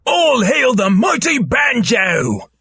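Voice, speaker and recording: Very raspy, brash halfling. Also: male voice, extremely high pitch, wildly swooping pitch, slow pace, sharp tone, no room sound, raised voice, studio quality, exaggerated voice. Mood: gleeful zeal. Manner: brash, swooping. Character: small bloodthirsty male halfling, brash troublemaker. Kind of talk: animated comic fandub acting.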